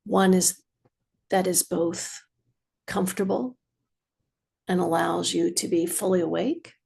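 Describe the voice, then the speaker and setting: Female meditation guide. Unhurried, measured, soothing delivery with gravelly fry and generous pauses. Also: lowish voice, darkish tone. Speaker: meditation teacher.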